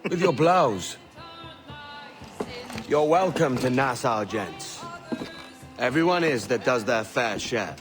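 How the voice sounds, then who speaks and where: An actor playing a roguish young pirate in a video game, wry and breezy. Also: male voice, mid-range voice, expressive, takes it slowly, long pauses, music in the background. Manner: breezy, dry, bantering. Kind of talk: video game cutscene acting.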